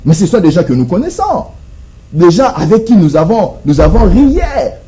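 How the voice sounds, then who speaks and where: Animated, high-voiced Congolese man debating. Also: moderate pace. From Democratic Republic of the Congo. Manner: rhetorical, emphatic, repeats phrases with self-posed questions. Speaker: political debate guest. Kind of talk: impassioned televised political debate.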